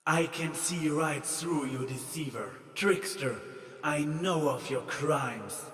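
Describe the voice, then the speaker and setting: A man acts out a wrathful goddess of the sun in a fantasy tale. The voice booms, lifted above a normal male register. Each phrase is drawn out slowly, commanding and accusing, with regal weight. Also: a bit bright, echoey room, long reverb tail. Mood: contemptuous. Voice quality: booming.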